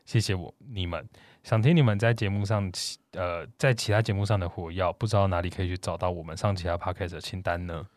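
Man, lowish pitch, podcast co-host, casual chat, reading listener comments, dry sound. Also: steady tone, quick pace, no room sound.

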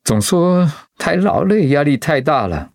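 A man playing a gentle, stoic, ailing middle-aged husband in a radio drama, hesitant and reassuring. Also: a little high, very animated, unhurried, no room sound, clean studio sound. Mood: weary, strained.

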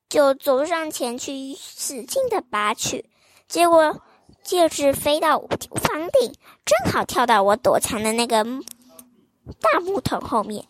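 A young woman reading a fairy tale aloud to children in a gentle, sing-song, child-directed manner. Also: sits high, no room sound. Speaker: children's storyteller host.

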